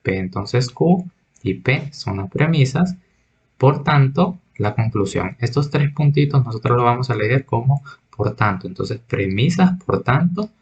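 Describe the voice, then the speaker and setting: A man's voice: mid-range voice, darkish tone, highs cut off. A man teaching a recorded logic lesson, patiently reading examples and explaining them step by step. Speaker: math teacher.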